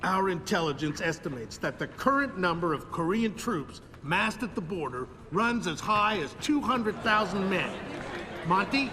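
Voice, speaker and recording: An actor playing a stern male military official at a press briefing in a video game, measured, formal and grave. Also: high voice, expressive, bright, forceful tone, slight background noise.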